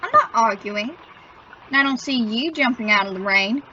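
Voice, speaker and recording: A woman acts a contrary teenage boy in old radio sitcom style. The voice is high and sharp, quick to grumble and argue. There is faint noise on a dull recording. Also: animated. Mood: grumbling. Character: argumentative, plain-spoken young teenage boy, the son.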